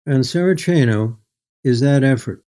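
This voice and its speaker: An older man talks as an author being interviewed about his writing. His voice is soft-edged and mellow, sitting at an average pitch. He is measured and articulate, choosing his words with thought. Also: expressive. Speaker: guest author. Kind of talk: reflective literary interview.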